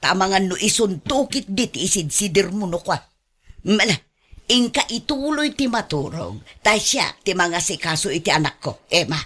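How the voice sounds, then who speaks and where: A woman's voice: mid-range voice, expressive, moderate pace, fairly bright tone. A woman playing a doting, possessive elderly mother who is sarcastic and fussing, in an Ilocano radio drama. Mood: sarcastic, scolding.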